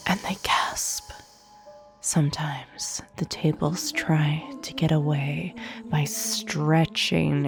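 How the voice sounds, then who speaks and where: A woman playing a haughty demon duchess in an ASMR roleplay, speaking formally with teasing menace and often dropping into a breathy whisper, close on a binaural mic. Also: low voice, full character voice. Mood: teasing amusement. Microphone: close binaural microphone. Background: soft piano music.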